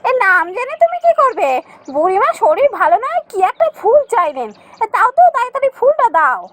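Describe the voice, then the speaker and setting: Woman as an eager, high-voiced greedy village girl. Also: sing-song, brisk. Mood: impatient, demanding. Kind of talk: children's fairy tale cartoon dubbing.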